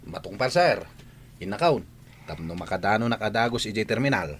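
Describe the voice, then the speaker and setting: An actor playing an obliging but cheeky household driver in a radio comedy drama. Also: male voice, lowish voice, animated, a little fast, slight background noise, lightly acted.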